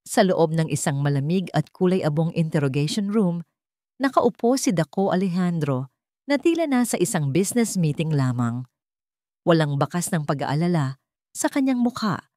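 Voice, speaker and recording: A woman's voice: lowish voice, darkish tone, dry recording, studio quality. A woman narrating a scripted love story in a dramatic storytelling style.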